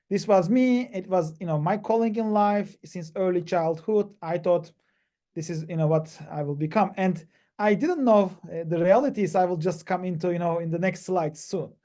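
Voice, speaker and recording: High-voiced man from Turkey, halting talk. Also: mellow. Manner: halting, many fillers and restarts. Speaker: PhD panelist. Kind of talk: webinar presentation. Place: video call.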